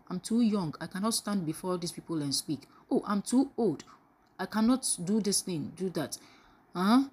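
A woman preaches a live devotional. Her voice sits somewhat low with a husky edge. She keeps asking her listeners questions and throws in praise interjections between points. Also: a little mellow. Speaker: preacher leading a daily devotional.

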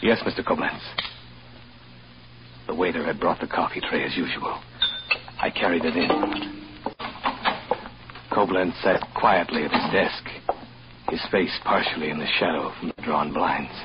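Deep-voiced, coldly scheming poisoner. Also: male voice, very noisy. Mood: meek, guarded. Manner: measured, confessional narration, meekly deferential in dialogue. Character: coldly scheming hotel employee secretly poisoning his boss. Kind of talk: old-time radio drama acting, first-person narration. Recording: dull old radio recording, loud music.

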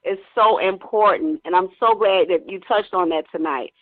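A woman's voice: lowish voice, moderate pace, no room sound. Enthusiastic woman caller, dry phone-line sound. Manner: enthusiastic, affectionate.

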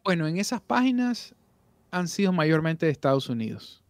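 A man's voice: a little high, sing-song, moderate pace, no room sound. A man, a voice actor guest on a podcast, talking candidly over a video call with frequent restarts. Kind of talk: casual podcast interview.

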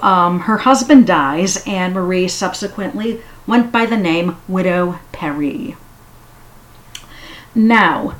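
Woman, somewhat low pitch, very slow pace, casual history talk, halting with fillers. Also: rough recording. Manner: unhurried, halting with frequent fillers. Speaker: history storyteller.

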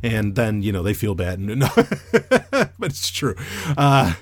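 Loud radio-trained man, dry sound. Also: on the low side, slow, no room sound. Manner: chatty and animated, lots of fillers and restarts. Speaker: podcaster reviewing a microphone. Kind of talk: casual rambling product review.

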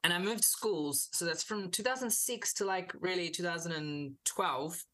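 Bright-voiced young man from India. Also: high-pitched, moderate pace. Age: 20s. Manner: earnest, hesitant restarts, laughs easily. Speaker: podcast guest, youth minister. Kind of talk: casual interview conversation.

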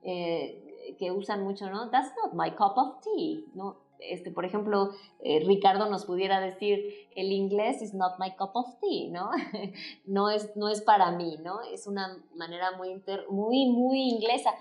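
A woman with a Mexican Spanish accent hosting a casual talk show in a playful, expressive way, calling people "corazón". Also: mid-range voice, measured pace. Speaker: host.

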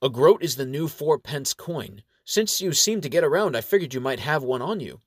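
A man's voice: medium pitch, lively intonation, normal speed, breathy edge, dry recording, studio quality, voice pushed up. A man voicing a suspicious male dwarf bank clerk with a blunt, probing manner in a fantasy audio story. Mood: businesslike.

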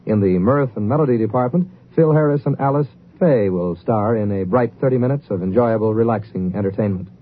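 A man's voice: on the low side, brisk, few pauses. A man working as a network radio announcer, reading promotional copy in a polished, formal 1950s style. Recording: narrow old radio broadcast, faint noise.

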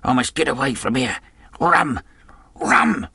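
Storybook reading of a gruff old drunken sea captain, acted out by a man. He barks in short exclamations, fierce and violent, his pitch thrown well above a plain talking voice, in a very dry recording. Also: animated, moderate pace, bright, forceful tone, no echo, heavily acted. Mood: desperate. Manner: barking, exclamatory.

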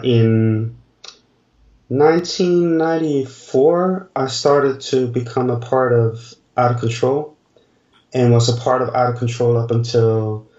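A man in his forties, a dancer answering interview questions in a slow, halting way, searching for words. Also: mid-range voice, steady tone, a little mellow. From Denmark. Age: 40s. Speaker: dancer guest. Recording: distant, roomy, dull remote audio.

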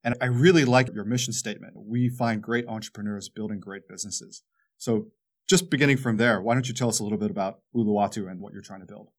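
A man's voice: lowish voice, fast talker. A man hosting a podcast, putting prepared questions to his guest. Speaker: podcast host. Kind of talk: podcast interview.

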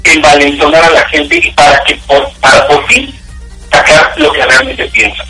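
Mexican guy guest on a call, high bright voice, kinda far off and echoey. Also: sing-song, moderate pace, gravelly. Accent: Mexican Spanish. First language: Spanish. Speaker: interview guest. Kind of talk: casual chat, anecdotal. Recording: roomy, distant call with faint music.

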